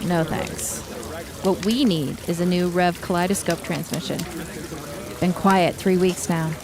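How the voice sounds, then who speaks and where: A woman's voice: on the low side, fairly even intonation, measured pace, crowd noise. A woman playing a tough, guarded commander of a survivor militia in a radio drama, speaking tersely and with command. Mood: weary, wry. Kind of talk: post-apocalyptic radio drama acting.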